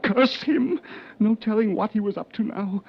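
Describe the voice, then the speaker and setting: A man's voice: high voice, normal speed, vocal fry. An actor playing an anxious, exasperated smuggler who tells his own tale in tense, literate 1940s radio drama style. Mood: suspenseful. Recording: dull old radio recording with music.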